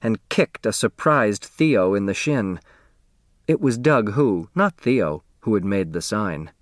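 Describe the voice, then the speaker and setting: A man narrating a children's novel as an audiobook, with expressive, deliberate storytelling on a close studio microphone. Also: lowish voice, dry recording.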